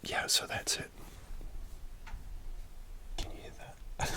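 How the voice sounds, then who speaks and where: A man softly whispering a rambling ASMR story, with many fillers and restarts. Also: takes it slowly. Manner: soft, relaxed whisper throughout. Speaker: ASMR creator.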